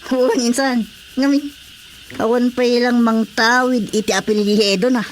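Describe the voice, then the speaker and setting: A woman's voice: fairly high pitch, steady tone, measured pace, natural voice. A woman playing a teasing, chatty countrywoman in an Ilocano radio comedy drama, speaking with an Ilocano accent.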